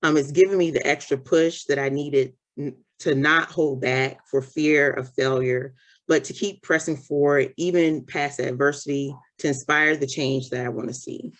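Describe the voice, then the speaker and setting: A program scholar giving sincere, grateful closing remarks, halting with fillers. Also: mid-range voice, steady tone. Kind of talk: thank-you remarks.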